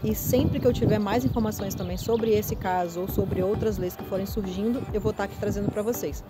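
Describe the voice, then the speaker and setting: A woman's voice: medium pitch, fairly even intonation, a little fast, over loud music. A Brazilian woman with a Brazilian Portuguese accent, hosting a casual vlog and explaining things step by step, often restarting her words. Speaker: vlogger.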